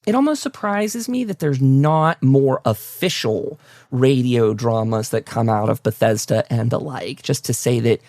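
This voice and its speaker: Male interviewer, creaky mid-range voice, casual and wordy, recorded very dry. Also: mid-range voice, unhurried, a little mellow, no echo. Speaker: podcast host, interviewer.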